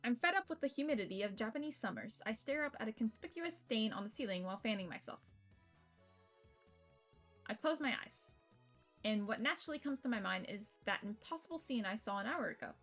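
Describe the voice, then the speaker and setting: A woman streamer reading visual novel narration aloud in a playful, expressive storytelling way, with casual asides. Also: on the high side, brisk. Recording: music behind the voice.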